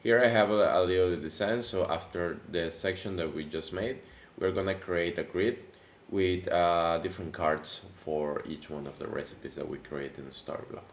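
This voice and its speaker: A man hosting a casual coding tutorial, his voice deepened by allergies, talking in a relaxed, chatty way with many fillers and restarts. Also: normal speed, few pauses. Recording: echoey room with faint background noise.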